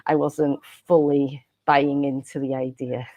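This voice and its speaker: Welsh woman in her thirties, deep for a woman, dry close sound, laughs between rambling answers. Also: little pitch movement, slow pace, dry recording, phone-quality sound. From Wales. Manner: giggly, rambling with frequent laughs and restarts. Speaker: podcast guest. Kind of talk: casual interview chat.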